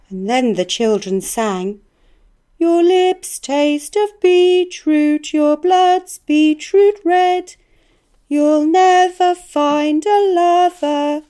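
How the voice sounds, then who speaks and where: Radio drama: earnest, fragile amateur poetess. High-pitched, plaintive, extremely unhurried. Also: female voice, warm tone.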